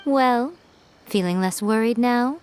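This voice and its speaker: A woman playing a sly, teasing, elegant fox shrine maiden in a video game, with a playful, knowing, unhurried manner. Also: a little high, darkish tone, slight background noise. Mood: smug, reassuring.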